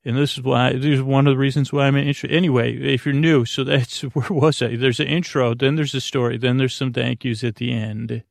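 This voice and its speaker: A man with a creaky voice hosting a sleep podcast in a rambling, halting comedic monologue, droll and self-deprecating, drifting off on tangents. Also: medium pitch, steady tone, dry recording, clean studio sound. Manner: meandering, halting, frequent self-interruption and tangents. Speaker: podcast host.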